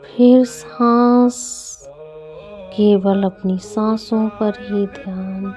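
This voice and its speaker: A woman leading a guided meditation, giving gentle, deliberate instructions with drawn-out phrasing and long pauses. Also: medium pitch, fairly even intonation. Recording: dull lo-fi audio with music. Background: background music.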